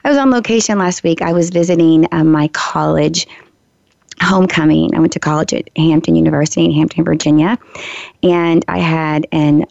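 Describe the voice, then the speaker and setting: A woman hosting a radio show, talking casually to her listeners with a hoarse, froggy voice and a chatty manner full of little asides. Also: low voice, fairly even intonation, normal speed, dry recording. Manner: chatty, warm asides, frequent ums and restarts. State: hoarse, recovering from a lost voice. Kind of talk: casual solo talk to listeners. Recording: slightly dull lo-fi radio recording.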